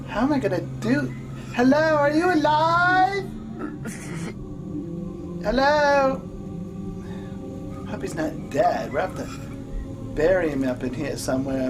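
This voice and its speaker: A woman's voice: medium pitch, low-quality recording. A woman playing a panicky, foul-mouthed amateur ghost hunter in a comedy puppet show, exclaiming and scolding dramatically. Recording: music playing loudly behind the voice.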